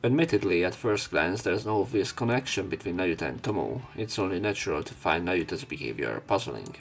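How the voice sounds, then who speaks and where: A man's voice: low-pitched, animated, a little fast, creaky, soft background music. A man doing let's play commentary, reading visual novel narration aloud with opinionated asides. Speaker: let's player.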